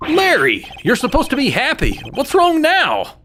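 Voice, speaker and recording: A man playing an earnest, excitable young rookie defense lawyer in a dramatic video game dub. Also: extremely high pitch, a little slow, a little hiss or hum, exaggerated voice. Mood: relieved. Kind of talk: video game fandub acting with internal monologue.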